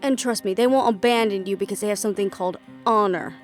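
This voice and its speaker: A woman's voice: medium pitch, fast, faint music behind, lightly acted. A woman playing a defiant, fiercely independent half-fae daughter, blunt and sarcastic, in a fantasy audio drama. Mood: smug bargaining. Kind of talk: fantasy audio roleplay acting.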